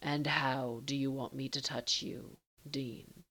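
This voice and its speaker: Woman voicing a low, hushed, commanding male lover. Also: level tone, full character voice. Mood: low, commanding, teasing. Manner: formal, measured, softly teasing.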